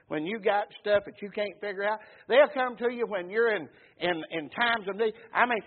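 A man preaches in a folksy, emphatic way, pausing to call for amens from the congregation. His voice sits high and sounds husky. The recording is thin and phone-like. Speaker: pastor. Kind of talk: sermon.

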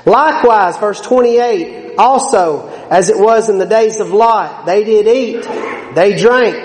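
A man's voice: sits high, slow, darkish tone. A man preaching a sermon, emphatic and folksy, his volume swelling and dropping between points. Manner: folksy, plain-spoken asides. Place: church sanctuary. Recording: echoey hall with long reverb.

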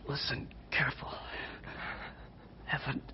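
An actor playing a dying father in a radio drama, weak, tender and devout, with a breathy, whispering voice struggling for air. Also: takes it slowly, lightly acted. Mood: weak, pleading. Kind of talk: old-time radio drama acting. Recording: dull lo-fi old radio recording.

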